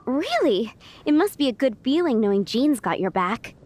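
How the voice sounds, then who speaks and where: A woman's voice: high voice, big pitch swings, moderate pace, a little mellow, a little hiss or hum. An actress playing a polite, busy restaurant owner in a video game, earnest and courteous as she asks for help. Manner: polite, earnest, eager to ask favors. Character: adult woman restaurant owner, polite and harried.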